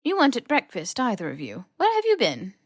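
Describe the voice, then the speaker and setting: Woman acting a stern, high-pitched older headmistress. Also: wildly swooping pitch, brisk, no echo, studio quality, heavily acted. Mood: alarmed, questioning. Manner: crisp, formal, old-fashioned phrasing. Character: stern, shrewd older female school headmistress, dryly exasperated. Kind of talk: audiobook character voice.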